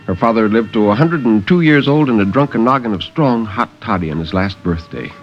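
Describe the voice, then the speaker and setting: Male narrator, lowish voice, very animated, theatrical story reading, muffled vintage radio recording. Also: fairly quick, faint music behind.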